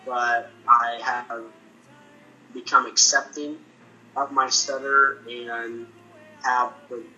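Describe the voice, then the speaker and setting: A young man who stutters, with repeated sounds and restarts, telling his own experience in an earnest, measured way. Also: medium pitch, flat delivery, sharp tone, dull audio, a little hiss or hum. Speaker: person sharing his experience. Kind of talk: personal testimony to camera.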